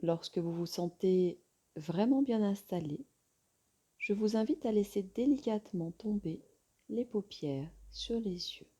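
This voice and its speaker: Very slow, soft, breathy woman guiding relaxation. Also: medium pitch, animated. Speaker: yoga nidra teacher. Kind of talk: guided relaxation meditation.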